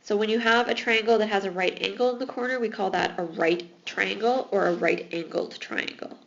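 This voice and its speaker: A woman's voice: mid-range voice, fairly quick, vocal fry, highs cut off. A woman teaching math in a recorded lesson, explaining patiently while losing her voice. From Alberta, Canada.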